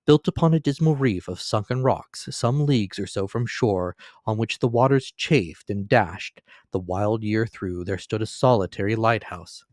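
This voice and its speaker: A man narrating a classic novel as a dramatic, expressive storytelling reading. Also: on the low side, moderate pace, no echo, clean studio sound.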